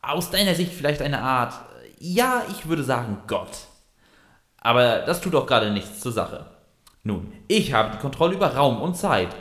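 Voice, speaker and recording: A man's voice: mid-range voice, sing-song, moderate pace, fairly bright tone. A man narrating a comic audio drama who is bossy and impatient and fumbles for words.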